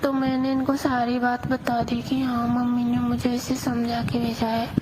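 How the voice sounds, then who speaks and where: A woman plays a young newlywed bride confiding in her lover in an audio drama. Her voice is fairly high and unhurried, with little melody and a hesitant, plaintive manner. Clear noise sits behind this amateur call-style recording. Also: completely flat delivery, lightly acted. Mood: hesitant, explaining. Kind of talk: intimate phone-call storytelling in audio drama.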